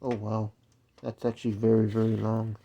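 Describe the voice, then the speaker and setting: A man's voice: on the low side, flat delivery, normal speed, gravelly, warm tone, lo-fi sound. A man casually walking through an unboxing of a speaker stand, explaining each part as he goes and correcting himself along the way. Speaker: DJ reviewing gear.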